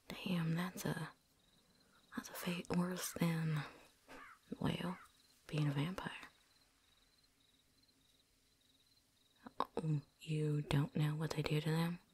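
A woman playing a seasoned, wary but friendly vampire hunter in a hushed, folksy ASMR roleplay whisper. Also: sits low, slight background noise. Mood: uneasy, guarded. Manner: hushed, folksy drawl, teasing.

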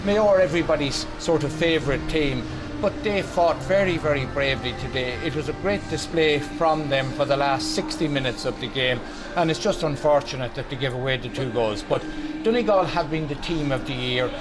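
An Irish man as a pundit, measured and willing to disagree in post-match analysis. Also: fairly high pitch, music in the background, poor audio. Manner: measured, dissenting. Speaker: studio pundit.

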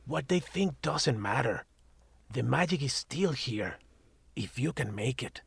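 A man's voice: mid-range voice, lively intonation, a little slow, fairly bright tone, no room sound, full character voice. An actor voicing a grumpy, foul-mouthed male talking cat in an audio drama, sarcastic and blunt, tossing in Spanish words. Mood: gentle. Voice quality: gravelly and husky. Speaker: magical shop cat.

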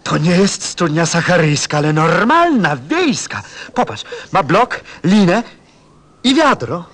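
A man's voice: high-pitched, big pitch swings, measured pace, a bit bright, full character voice. An actor playing a tender, thoughtful pilot in a radio play, a grown man speaking with reflective feeling. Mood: excited. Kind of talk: radio play acting and first-person narration.

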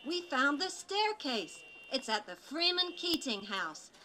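A woman voicing an excitable little girl adventurer in a live puppet show, exclamatory and sing-song. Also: sits high, measured pace, heavily acted. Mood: urgent. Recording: faint outdoor background noise.

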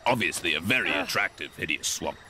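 A man playing an earnest, bumbling thunder-god warrior in an animated game, with formal, archaic, theatrical speech. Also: deep voice, brisk, a little hiss or hum, heavily acted. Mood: flustered. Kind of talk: animated game hero acting. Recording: loud music under the voice.

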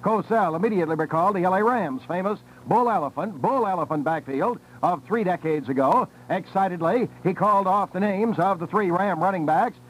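A man's voice: high-pitched, a little fast, hardly any pauses. A man delivering scripted radio sports commentary in clipped datelines with dramatic emphasis. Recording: noisy old radio cassette recording.

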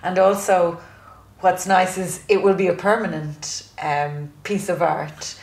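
Sex: woman. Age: middle-aged. Role: interviewer. Pitch: lowish. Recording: roomy. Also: steady tone, measured pace, darkish tone. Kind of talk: interview questions. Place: studio set, indoors. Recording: roomy echo.

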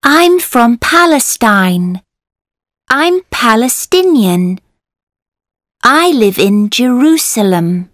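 A woman reading textbook dialogue lines slowly and carefully as a model for learners. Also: a little high, sing-song, a bit bright, no room sound, studio quality.

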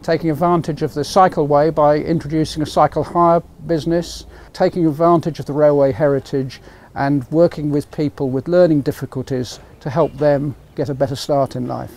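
A British man in his sixties talks to camera as a presenter. His voice is fairly high for a man, recorded outdoors with background noise. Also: fairly even intonation, moderate pace, hardly any pauses, a little mellow. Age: 60s. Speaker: project advisor, presenter. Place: outdoors at a railway station. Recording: faint outdoor station noise.

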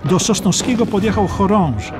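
A man's voice: high-pitched, animated, moderate pace, over loud music. A man narrating a war history audiobook in a dramatic, scripted storytelling style.

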